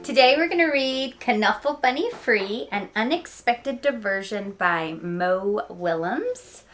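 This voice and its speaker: A woman reading a picture book aloud to young listeners, expressive and speaking to them directly with small asides. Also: on the high side, slow, faint music behind. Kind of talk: children's read-aloud storytelling with asides.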